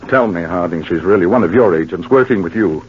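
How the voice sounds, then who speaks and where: An actor playing a heartbroken, disbelieving colonel with a pleading, halting manner, 1940s radio drama. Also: male voice, low-pitched, close to an everyday voice. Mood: anguished disbelief. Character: heartbroken, disbelieving colonel betrayed by his sweetheart. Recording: muffled, noisy 1940s radio recording.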